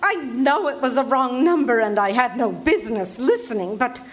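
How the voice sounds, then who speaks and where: A woman acting a high-strung, imperious wealthy lady in a live radio play, urgent and breathless. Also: lively intonation, normal speed, lightly acted. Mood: frantic. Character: anxious demanding wealthy woman, invalid. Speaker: radio drama actor. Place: RV resort hall before a live audience.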